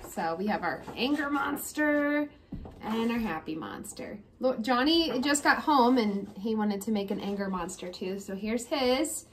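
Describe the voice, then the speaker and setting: A woman's voice: on the high side, animated, measured pace. A woman in her thirties hosting a casual lesson for young children, encouraging them and prompting with questions. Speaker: children's read-aloud host. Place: small room at home, indoors. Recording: noisy room ambience.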